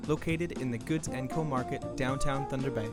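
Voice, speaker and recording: A man's voice: medium pitch, normal speed, over music. A man hosting a podcast, interviewing a guest in a friendly way and laughing easily. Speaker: podcast host.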